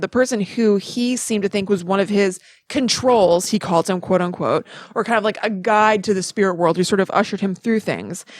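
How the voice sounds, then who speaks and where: A woman's voice: medium pitch, dry recording, studio quality. Female podcast co-host, casual and talkative storyteller who amends herself mid-flow, very little room sound. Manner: chatty, self-correcting.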